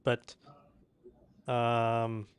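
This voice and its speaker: A man's voice: medium pitch, monotone, drawn-out words, clear, resonant tone. A man hosting a live stream, commenting casually and joking loosely as he reviews submissions. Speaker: livestream host.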